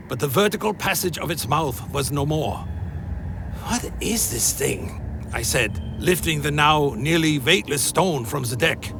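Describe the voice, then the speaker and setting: A man's voice: fairly high pitch, vocal fry, background noise. A man playing a brooding, bloodthirsty undead vampire fledgling, narrating a gothic radio play in a solemn, literary manner. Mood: questioning. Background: music.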